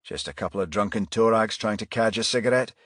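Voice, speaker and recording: A man's voice: lowish voice, fast talker, a bit bright, no echo, studio quality, close to an everyday voice. A man playing a firm, unflappable police detective inspector in a crime audiobook. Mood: wry.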